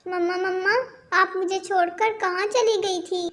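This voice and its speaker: A woman's voice: very high voice, steady tone, measured pace, fairly bright tone. A woman voicing a small, frightened, tearful daughter bird in a Hindi cartoon, childlike and pleading.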